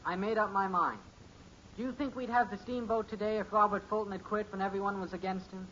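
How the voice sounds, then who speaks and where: Eager, naive teenage son, bright and earnest, 1950s radio comedy, dull noisy recording. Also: male voice, high-pitched, brisk. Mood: eager, proud. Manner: earnest, excitable, slangy. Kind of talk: 1950s radio sitcom acting. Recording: muffled, hissy old radio recording.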